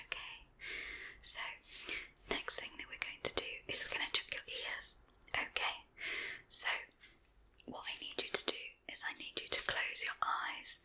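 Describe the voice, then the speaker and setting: Female ASMR artist, gentle reassuring whisper, very bright tone, plays a doctor examining the listener. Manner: soft, gentle and reassuring, speaking to the listener as a patient. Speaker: ASMR creator playing a doctor. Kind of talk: whispered ASMR medical exam roleplay.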